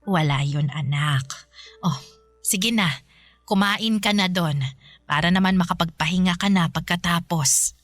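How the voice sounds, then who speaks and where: A woman's voice: fairly low pitch, unhurried, pauses often, close to an everyday voice. A woman playing a caring, patient middle-aged Filipino mother in a radio drama. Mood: warm, reassuring. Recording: music bed under the voice.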